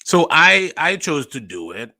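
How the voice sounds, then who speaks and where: A young adult man from Haiti, a stand-up comedian telling his story in a casual interview, with animated emphasis. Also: a little high, a little slow, no echo.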